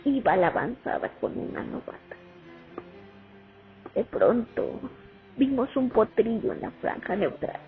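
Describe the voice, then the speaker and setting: A woman's voice: on the high side, big pitch swings, a little slow, pauses often, faint music behind. A woman playing a young Soviet sniper haunted by her war memories in a radio play. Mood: weary, reflective. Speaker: actor in a student radio drama. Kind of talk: dramatic first-person monologue, radio play. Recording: muffled phone-like recording.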